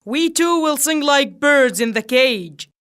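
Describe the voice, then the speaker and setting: A woman voicing a gentle, tender old king. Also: high voice, expressive, takes it slowly, full character voice.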